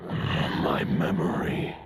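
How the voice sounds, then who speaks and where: An actor playing an ancient, noble, weary wolf spirit with a gravelly, breathy growl in a video game. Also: male voice, rumbling low, steady tone, very slow, over music, full character voice. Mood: weary, solemn. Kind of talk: dramatic video game voice acting.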